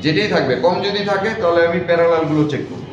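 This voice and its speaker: A man's voice: fairly high pitch, racing. A man lecturing a class as a repair instructor, repeating points and often quizzing his listeners. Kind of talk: classroom lecture with check questions. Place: echoey indoor classroom. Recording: very echoey room, far mic, clear noise, dull.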